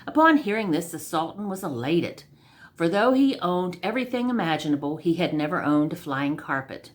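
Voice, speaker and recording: A woman's voice: fairly low pitch, expressive, normal speed. A woman storyteller reading a scripted comic tale aloud.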